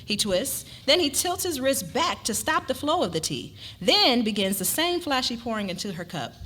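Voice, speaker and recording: A woman's voice: a little high, big pitch swings, normal speed, fairly bright tone. A woman narrating a scripted story at a live dramatic reading. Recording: noisy live event recording.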